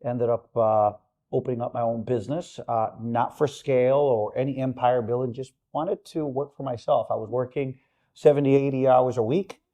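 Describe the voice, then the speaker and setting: A man from Honduras in his forties, a business-owner guest who talks in a halting way with many fillers. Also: medium pitch, steady tone, warm tone. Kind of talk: casual interview chat.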